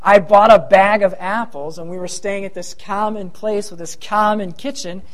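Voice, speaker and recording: A man's voice: high-pitched, fairly even intonation, moderate pace. Thin-voiced man preaching a sermon. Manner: self-deprecating, rambling asides. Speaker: pastor.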